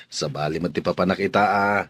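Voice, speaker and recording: A man plays a Filipino husband in a radio drama. His voice sits fairly low, and he is plain-spoken and questioning with the people around him. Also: fairly bright tone, husky, dry recording, exaggerated voice. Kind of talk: Philippine radio drama acting.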